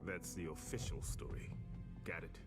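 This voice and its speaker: An actor playing a weary, wary older government chief held captive, speaking dramatically in a video game. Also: male voice, sits low, normal speed, natural voice. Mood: grave, revealing. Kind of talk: video game cutscene acting. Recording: music underneath. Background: music.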